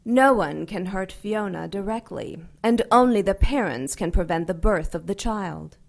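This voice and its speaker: A woman playing a persuasive, grave female dark angel in an audio drama. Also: mid-range voice, a little slow, gravelly. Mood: grave, urgent.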